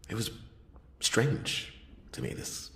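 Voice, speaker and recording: A man playing a brooding noir detective, narrating his case in a hushed, breathy ASMR roleplay with halting, dramatic pauses. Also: roomy sound, a little hiss or hum. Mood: hushed wonder. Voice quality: hushed, breathy, often dropping into whisper.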